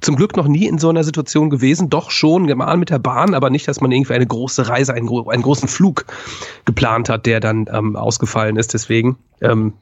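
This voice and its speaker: Co-Gastgeber, Mann, erkältet, norddeutscher Akzent, viele Ähms, mittlere Stimme. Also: male voice, mid-range voice, fairly even intonation, a little fast, husky, no echo, highs cut off. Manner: hesitant, many fillers. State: stuffy nose from a cold. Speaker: podcast co-host. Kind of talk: casual chat.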